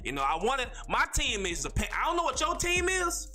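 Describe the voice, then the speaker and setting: A man from North Carolina, hosting a casual solo podcast in a rambling, slangy way, talking fast with a stutter. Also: very high voice, huge pitch swings, bright, forceful tone. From North Carolina, USA. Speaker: podcast host.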